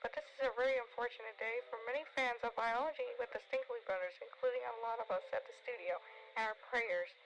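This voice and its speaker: A woman's voice: a little high, fairly even intonation, fast, fairly bright tone, a little hiss or hum, poor audio. A woman playing a somber radio news reporter, reading a formal scripted bulletin for an amateur horror series.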